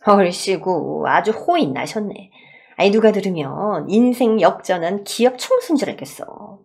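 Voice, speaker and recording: A woman voicing a scornful older mother-in-law in a radio story drama, berating with sighs and drawn-out sarcasm. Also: mid-range voice, animated, gravelly, a little mellow. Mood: sarcastic contempt.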